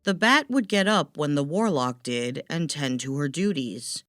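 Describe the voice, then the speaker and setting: A woman's voice: low-pitched, animated, a little slow, a bit bright, no echo, clean studio sound. A woman narrating a scripted fairytale as a storyteller.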